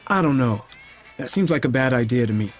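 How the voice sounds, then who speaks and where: A man acting a protective, touchy high school athlete in an audio drama, speaking in a casual, clipped way. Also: lively intonation, a little fast, faint music behind, lightly acted. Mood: worried.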